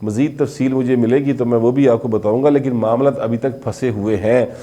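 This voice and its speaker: A man's voice: mid-range voice, fairly even intonation, brisk, warm tone. A man with a Pakistani Punjabi accent giving conversational, informal commentary as a political commentator. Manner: conversational, slipping between Urdu and Punjabi.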